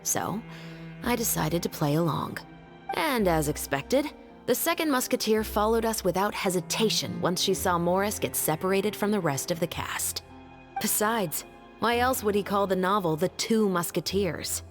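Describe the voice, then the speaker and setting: An actor, a woman, playing a stern, principled young police officer in a video game, speaking in a measured, authoritative way. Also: medium pitch, lively intonation, soft background music, natural voice. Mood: confident, explaining. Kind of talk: dramatic video game voice acting.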